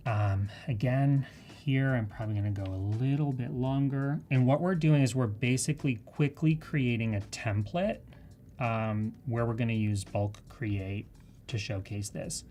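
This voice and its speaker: A woman's voice: a bass voice, measured pace, dark tone, a little hiss or hum. Chatty, enthusiastic Canadian woman presenting. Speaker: presenter of a live software tutorial. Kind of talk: live demonstration, casual and conversational.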